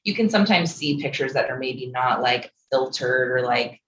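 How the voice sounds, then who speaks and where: A woman's voice: very deep voice, animated, normal speed, lo-fi sound, a little hiss or hum. Giggly Midwestern woman, chatty podcast guest, roomy sound. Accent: Midwestern American. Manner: giggly, lots of "like" fillers. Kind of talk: casual chat about dating.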